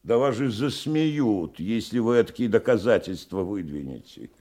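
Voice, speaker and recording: An actor playing an elderly murder suspect in a radio drama, sly and defiant, with a theatrical, mocking delivery. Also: male voice, lowish voice, expressive, measured pace, a little mellow. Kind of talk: Russian radio drama acting.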